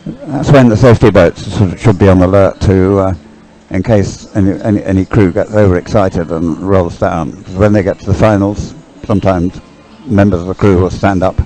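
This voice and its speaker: A man's voice: deep voice, fairly even intonation, moderate pace, hardly any pauses, dark tone, poor audio. An older man giving unscripted live race commentary in a hesitant, musing, explanatory way.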